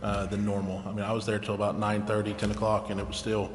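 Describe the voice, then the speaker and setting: A man chairs a public meeting with informal remarks. He speaks quickly in a fairly low, even voice. The chamber is echoey, with clear noise behind him. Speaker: city council meeting chair. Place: indoor city council chamber. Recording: echoey, noisy council chamber.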